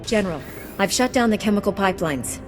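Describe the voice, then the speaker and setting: An actress playing a composed, dutiful Jedi commander in a video game, speaking in measured, terse, resolute lines. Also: female voice, medium pitch, expressive, over music, close to an everyday voice. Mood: dry, businesslike. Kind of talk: video game cutscene dialogue.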